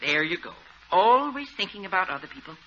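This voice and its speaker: Elderly, fussing mother, lively intonation, vintage radio comedy, muffled recording. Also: medium pitch, brisk. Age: older. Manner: coaxing, fussing. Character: doting, fussing elderly mother, gently insistent. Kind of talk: 1940s radio comedy acting. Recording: dull old radio with faint noise.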